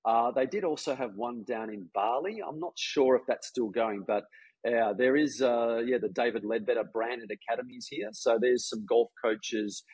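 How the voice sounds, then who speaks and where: A middle-aged Australian man, a golf coach speaking as a guest in a relaxed, rambling interview with many fillers. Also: medium pitch, normal speed, breathy edge, no echo, low-quality recording. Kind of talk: casual interview chat. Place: home, residence in Jakarta.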